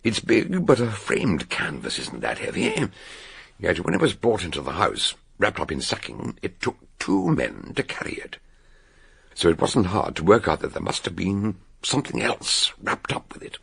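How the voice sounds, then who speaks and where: Deep, gravelly old British detective. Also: male voice, wildly swooping pitch, a bit bright, full character voice. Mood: triumphant, explanatory. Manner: dramatic, emphatic, deliberate storytelling. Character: old male amateur detective, erudite, theatrical, relishing his explanation. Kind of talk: radio drama acting, expository storytelling.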